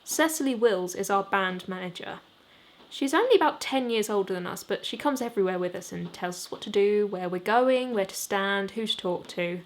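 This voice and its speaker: A woman reading her own novel aloud in an expressive audiobook narration style, as its author. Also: mid-range voice, normal speed. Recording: steady background noise. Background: rain ambience.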